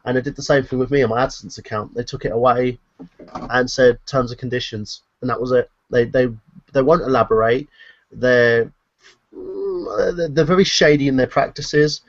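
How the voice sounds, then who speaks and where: A man's voice: medium pitch, steady tone, normal speed, no echo. A man from the United Kingdom on a group stream call, explaining things at length with frequent ums. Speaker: stream panelist. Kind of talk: casual group chat. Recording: dull dry lo-fi call audio.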